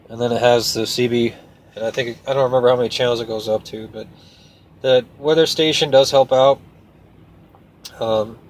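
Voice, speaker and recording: A man's voice: mid-range voice, poor audio. A man talking casually to viewers as a trucker vlogger, in a plainspoken, folksy, hesitant way. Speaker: trucker and video host. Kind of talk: casual rambling vlog talk to viewers. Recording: clear steady background noise.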